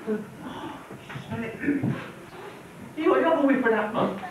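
An amateur actor playing an excitable, daft pantomime bear with exaggerated delivery. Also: male voice, very high voice, normal speed, background noise. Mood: eager. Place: village hall stage. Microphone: far camera in the audience. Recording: echoey hall from far off, camcorder.

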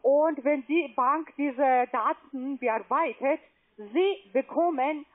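A woman on a phone call posing as a bank agent, speaking slowly in halting, broken sentences and repeating numbers back. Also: high voice. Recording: tinny phone line.

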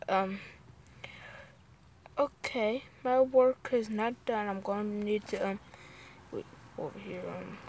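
A woman streaming a game, casually thinking aloud in a playful, muttering way. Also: medium pitch. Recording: noisy amateur game stream audio.

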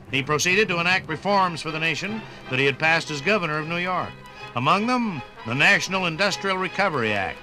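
An American man giving a scripted 1950s radio documentary narration with dramatic, measured phrasing. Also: on the high side, low-quality recording.

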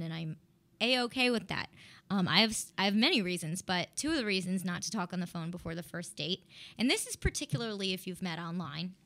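A woman's voice: medium pitch, fairly bright tone. Woman, thirties, radio host, upbeat and chatty, close studio mic. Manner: chatty, upbeat, laughs often, speaks with hand gestures. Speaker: dating coach and radio show host. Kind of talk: solo talk on a live radio show. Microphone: studio mic on a boom arm, headphones on.

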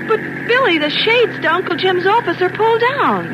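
An anxious teenage girl in a 1940s radio serial. The voice is high and very animated, earnest and exclamatory. It comes through a noisy old recording. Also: normal speed, lo-fi sound. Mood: concerned. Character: observant, anxious teenage girl adventurer, Betty.

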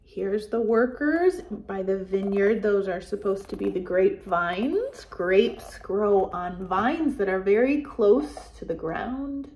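An American woman in her forties leading a children's Bible storytime as pastor, speaking in simple, deliberate phrases meant for young children. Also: mid-range voice, expressive, darkish tone. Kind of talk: children's storytime, reading and explaining. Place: small office, indoors.

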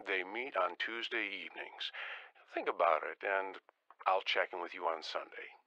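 An actor playing a friendly, encouraging church elder on a telephone in an audio drama, folksy and upbeat. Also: male voice, low-pitched, normal speed, sharp tone, no room sound, lo-fi sound.

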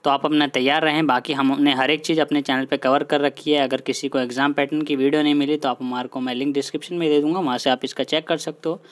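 A man's voice: a little high, level tone, quick pace, hardly any pauses. A man hosts an informal online update. He addresses viewers directly, goes over points repeatedly and drops English words into his sentences. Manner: repetitive, mixes English words into his talk. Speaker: host of an exam news channel.

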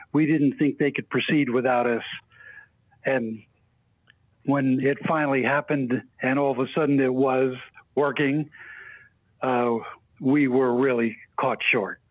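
A man's voice: mid-range voice, steady tone, measured pace, no room sound. American man on a tinny phone line. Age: middle-aged or older. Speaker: interview guest, former union spokesperson. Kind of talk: reflective interview answer. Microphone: telephone.

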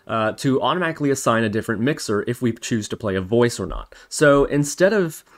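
A man's voice: medium pitch, animated, fairly quick. A man hosting a casual programming tutorial, talking through each step as he types it. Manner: spells out steps as he types. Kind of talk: casual screen-recorded coding tutorial narration.

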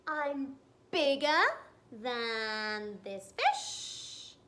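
A woman voicing a boastful, excitable giant squid from a picture book, in proud singsong declarations. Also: fairly high pitch, very slow, roomy sound, pitched below the performer's own voice, exaggerated voice.

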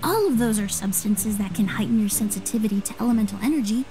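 An actress voicing a composed young woman sleuth in a game, explaining things in a measured, precise way. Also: medium pitch, mellow, slight background noise, lightly acted, rough recording. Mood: composed, explanatory. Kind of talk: scripted game dialogue. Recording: music behind the voice.

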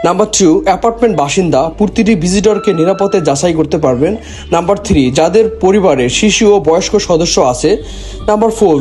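A Bangladeshi man delivers a promotional voice-over in a high-pitched voice. He mixes English phrases into his sales pitch, with light music playing behind him. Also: warm tone. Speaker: product presenter for a gadget seller. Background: background music.

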